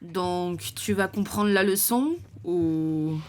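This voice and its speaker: A woman voicing a stern, weary female sheriff in an animated western fan dub, curt, commanding and exasperated. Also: fairly low pitch, takes it slowly.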